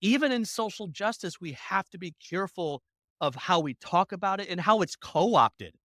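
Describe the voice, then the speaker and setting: Bright punchy voice, animated emphatic guest, dry close sound. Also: medium pitch, dry recording. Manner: animated, emphatic, quick bursts. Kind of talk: conversational interview.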